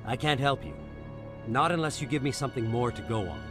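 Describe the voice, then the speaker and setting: A man plays a curt, dismissive security officer in a sci-fi game. His voice is medium in pitch and impatient. Music is prominent behind him. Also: brisk, close to an everyday voice. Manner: curt, skeptical. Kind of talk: video game character dialogue.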